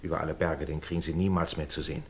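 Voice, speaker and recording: An actor playing a dignified, conciliatory gentleman in a radio play, measured, earnest and persuasive. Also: a bass voice, fairly even intonation. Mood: calming, persuasive. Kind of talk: formal German radio play acting. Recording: radio drama with audible background noise.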